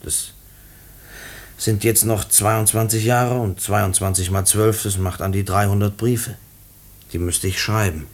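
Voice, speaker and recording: Low-voiced, pleading condemned prisoner. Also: male voice, steady tone. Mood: explaining, earnest. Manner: halting, repeats words, pleading. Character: condemned male prisoner, young, earnest, stubborn, devoted to his mother. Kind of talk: German radio play acting.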